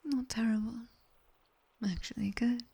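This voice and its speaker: A British woman playing a sharp-tongued nightmare demoness tutor in an ASMR roleplay, whispering breathily and close to the microphone with clipped, teasing authority. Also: on the high side, steady tone, creaky, slightly rough, heavily acted. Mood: dry, teasing. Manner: slow, clipped instructions with dry, teasing praise. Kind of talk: ASMR roleplay, guided sleep session. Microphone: close binaural microphone.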